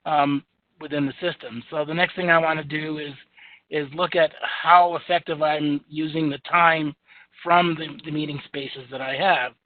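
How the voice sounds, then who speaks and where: A man with a booming voice walking through a software demo in an easygoing, chatty way with lots of fillers. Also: steady tone, moderate pace. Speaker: software demonstrator. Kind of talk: software screen-share demo. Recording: tinny phone-like audio, dry.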